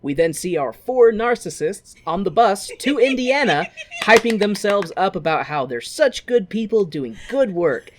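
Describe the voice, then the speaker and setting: An American man co-hosts a podcast with a very lively, swinging pitch. His talk is informal and sweary, a loose recap dotted with wry asides. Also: unhurried, noisy background. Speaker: podcast co-host. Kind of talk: casual film recap with commentary.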